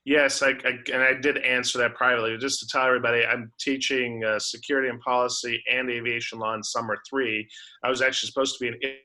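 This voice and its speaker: Man with a very bright, forceful voice. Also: mid-range voice, low-quality recording. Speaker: professor and program coordinator. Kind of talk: informal meeting update. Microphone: video call.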